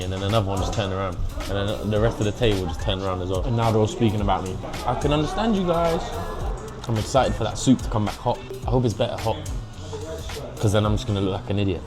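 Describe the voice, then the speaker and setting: A young man with an English accent, chatting casually through a food vlog, cheeky and mixing in Mandarin phrases. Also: fairly low pitch. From England. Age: young adult. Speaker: food vlogger. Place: hotpot restaurant.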